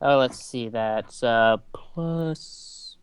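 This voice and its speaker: A man's voice: medium pitch, extremely slow, smooth, no echo. A man chatting casually as a player in a tabletop game, thinking aloud with mild exclamations.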